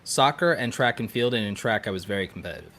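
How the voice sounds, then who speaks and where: Low-pitched man chatting emphatically. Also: lively intonation, fast, a crowd in the background. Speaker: podcast co-host. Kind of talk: casual chat.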